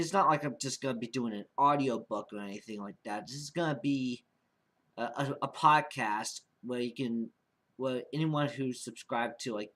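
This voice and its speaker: Rambling, halting young man, casual vlogger. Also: mid-range voice, bright, forceful tone. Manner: rambling, halting, repeats and restarts phrases. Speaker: amateur podcast creator. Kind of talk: casual unscripted vlog monologue.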